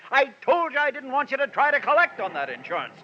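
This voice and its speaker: Blustering crook, rapid tense delivery, gruff, noisy vintage radio drama. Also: male voice, very high voice, very animated. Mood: angry, scolding. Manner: blustering, fast. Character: gruff, bullying crook scolding his partner. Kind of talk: 1940s radio crime acting. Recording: muffled, noisy old radio recording.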